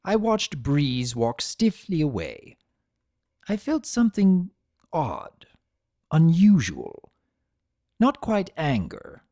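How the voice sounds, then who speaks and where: A wry male trickster spirit acted out by a story reader, narrating his own tale. The mellow voice swings widely in pitch, sarcastic and grumbling, with theatrical flair. The sound is close and dry. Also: wildly swooping pitch, takes it slowly, pauses often, no echo, full character voice. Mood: wry, reflective. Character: Discord, sardonic, grumbling male spirit of chaos turned statue. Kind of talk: first-person dramatic storytelling.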